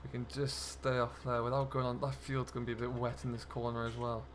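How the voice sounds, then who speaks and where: A male gaming host with a mid-pitched voice talks casually through what he is doing at the wheel. His commentary is chatty and loose, in a role-play style. Faint vehicle noise and ambience sit behind his voice. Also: little pitch movement, brisk.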